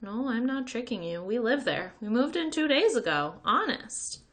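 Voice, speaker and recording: A woman voicing a naive, friendly schoolboy from a children's book, earnest and excitable. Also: fairly high pitch, moderate pace, pitched above the performer's own voice. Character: naive, friendly, eager little schoolboy, proud and trusting.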